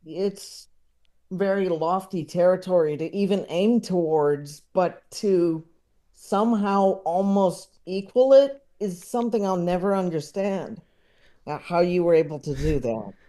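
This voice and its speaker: Male podcast guest, unhurried and mellow, rambling and self-deprecating in casual conversation. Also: high voice. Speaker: podcast guest, comedy writer and filmmaker. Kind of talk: casual interview chat.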